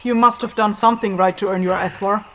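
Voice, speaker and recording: Authoritative fantasy ritual caster acted by a woman: measured, instructive, medium pitch, faint ambient noise. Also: steady tone, natural voice. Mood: instructive, calm. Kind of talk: fantasy audio drama acting.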